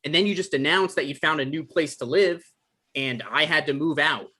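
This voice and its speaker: A man's voice: on the high side, fairly quick, bright, forceful tone, husky. A man playing an evasive, defensive guy in a table read, stammering and hedging in an awkward conversational way. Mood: indignant. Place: online live stream table read.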